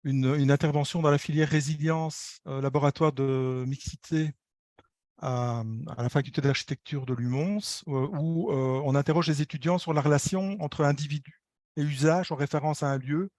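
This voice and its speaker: A man presents academic slides in a fairly high voice. His delivery is hesitant, with repeated words as he searches for phrasing. The sound is close and dry, over a video call. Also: steady tone, moderate pace, darkish tone, no room sound. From Belgium. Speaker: architecture lecturer and researcher presenting.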